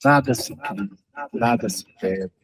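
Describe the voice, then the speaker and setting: A man's voice: lowish voice, takes it slowly, husky, no room sound. An actor playing an earnest, devoted, optimistic man talking to his partner in a naturalistic audio drama. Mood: tender.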